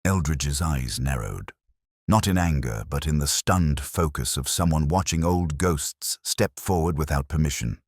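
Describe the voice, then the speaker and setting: Male narrator, very low pitch, lively intonation, solemn measured delivery, detective story reading. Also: dry recording, clean studio sound. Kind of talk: scripted first-person detective story narration.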